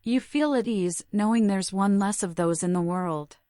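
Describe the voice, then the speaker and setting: A woman giving scripted, reflective game narration in a measured, articulate, musing way. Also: mid-range voice, no room sound, studio quality. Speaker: game player voicing her protagonist. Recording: loud game ambience behind voice.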